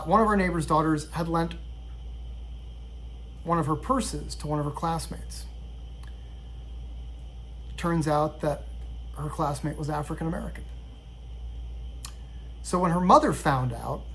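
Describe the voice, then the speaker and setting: A middle-aged man from Sweden telling a personal story in a measured, reflective way. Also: on the high side, fairly bright tone, slight background noise, poor audio. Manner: reflective, measured, with occasional restarts. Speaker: vlogger.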